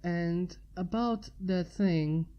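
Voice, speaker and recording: A woman voicing a shy, polite male pyromancer with quiet, hesitant speech in a storybook reading. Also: on the low side, drawn-out words, lo-fi sound.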